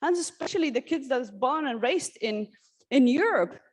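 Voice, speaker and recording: A woman from Syria giving an earnest, halting personal talk at a workshop, with many restarts and fillers. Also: high-pitched, animated, low-quality recording. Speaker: conference speaker. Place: conference hall.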